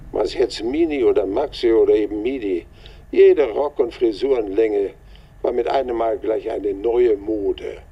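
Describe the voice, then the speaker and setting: An actor playing an older playwright who reminisces with wry self-mockery in a radio play. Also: male voice, lowish voice, breathy edge, dull audio, full character voice. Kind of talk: conversational radio play monologue.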